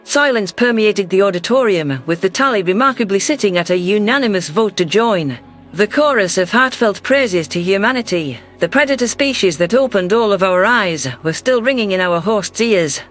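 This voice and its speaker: A man reading scripted first-person science fiction narration in a measured, literary delivery. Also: high-pitched, animated, a bit bright, faint music behind. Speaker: audiobook narrator.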